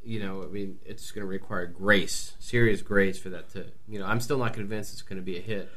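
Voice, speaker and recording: A man with a fairly low voice talks quickly, asking questions and tossing in dry remarks during a casual chat about films. His speech is brisk and relaxed. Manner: asks questions, wry. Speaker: podcast co-host. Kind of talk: casual movie talk.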